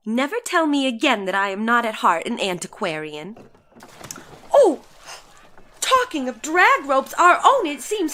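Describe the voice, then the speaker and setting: A woman giving a dramatic, expressive audiobook reading of a satirical story in letters, stretching some phrases for effect. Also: a little high, a little hiss or hum. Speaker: narrator of a classic short story.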